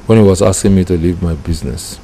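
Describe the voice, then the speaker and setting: A middle-aged Nigerian man with a Nigerian accent, a preacher giving personal testimony deliberately, phrase by phrase. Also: sits low, steady tone, mellow. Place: indoor room with curtains. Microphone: microphone close in front. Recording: clear background noise.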